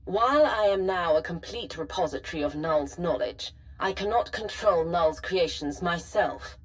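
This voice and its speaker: A woman playing a formal, measured artificial personality stored in an ancient archive, precise and helpful, in a video game. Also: on the low side, animated, close to an everyday voice. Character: calm, formal female personality matrix of a Sith holocron. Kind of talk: scripted video game voice acting.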